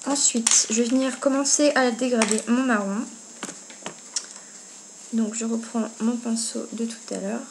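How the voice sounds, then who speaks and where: A woman's voice: on the high side, a little mellow, low-quality recording. A woman giving a casual step-by-step makeup tutorial, talking informally as she works. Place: indoors at home. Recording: noticeable background noise, amateur home video.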